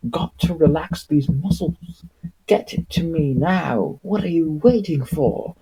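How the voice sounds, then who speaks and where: A man's voice: on the high side, slow, mellow, husky, pitched above the performer's own voice, heavily acted, poor audio. A man voicing a rattled, urgent doctor who speaks in a shaky, exclamatory way. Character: rattled male doctor, urgent, barking orders, indignant.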